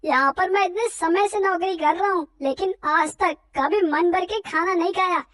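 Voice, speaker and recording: A woman's voice: extremely high pitch, normal speed, fairly bright tone, no room sound, studio quality. A woman voicing a friendly, eager male customer in a cartoon story, talking in an exclaiming way. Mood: delighted. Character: cheerful male office worker customer, friendly.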